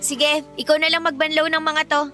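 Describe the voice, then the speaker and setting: A woman's voice: sits high, little pitch movement, brisk, pushed and bright. A woman with a Filipino accent playing a candid, hard-up eighteen-year-old girl in a radio drama, telling things in an emphatic, conversational way. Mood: casual. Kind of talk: Tagalog radio drama acting. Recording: music bed under the dialogue.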